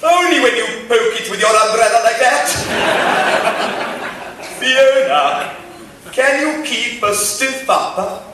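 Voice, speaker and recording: An actor playing an overwrought, stiff-upper-lipped wounded officer with a clipped upper-class English accent in a stagey radio romance parody. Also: male voice, very high-pitched. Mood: bravely suffering. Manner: overwrought, stagey, halting dramatic delivery. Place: on a theatre stage. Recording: roomy theatre stage, distant, faint noise.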